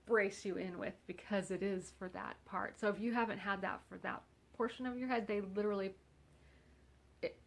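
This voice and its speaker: A woman's voice: medium pitch, steady tone, normal speed, creaky. A woman vlogging casually at home, nasal from congestion, rambling along with a wry, self-mocking humor. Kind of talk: casual chat.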